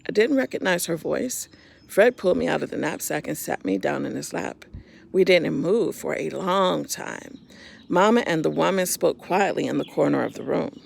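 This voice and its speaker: A woman reading a children's story aloud in an expressive, simple storytime manner, talking directly to young listeners. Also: low voice, normal speed, gravelly, a little hiss or hum.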